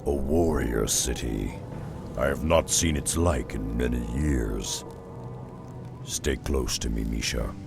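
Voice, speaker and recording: A hulking half-orc beastmaster with an extremely low, gravelly growl that crawls along at a slow pace. He speaks in grave, terse lines of a game cutscene, with orchestral music swelling clearly behind him. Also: exaggerated voice. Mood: solemn. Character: gruff half-orc beastmaster, solitary wanderer.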